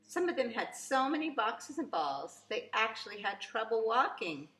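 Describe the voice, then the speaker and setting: Woman, preschool read-aloud, bright voice, theatrical emphasis, echoey room, faint background noise. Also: a little high, big pitch swings, moderate pace. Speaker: preschool teacher reading aloud. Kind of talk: expressive children's storybook read-aloud.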